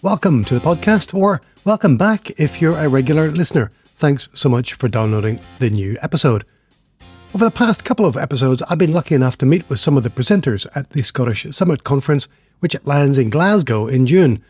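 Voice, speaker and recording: A man's voice: mid-range voice, lively intonation, brisk, a little mellow, husky, faint music behind. A man hosting a tech podcast, reading a friendly scripted intro and then asking conversational questions. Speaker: podcast host. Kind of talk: podcast interview.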